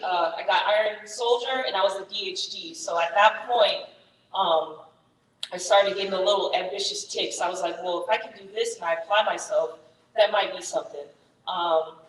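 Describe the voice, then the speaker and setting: A woman's voice: mid-range voice, roomy sound, big-room sound, recorded from a distance, slight background noise, low-quality recording. German-born woman soldier telling her story. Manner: candid, energetic, many fillers. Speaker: Army first sergeant, guest speaker. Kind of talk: personal story talk to soldiers.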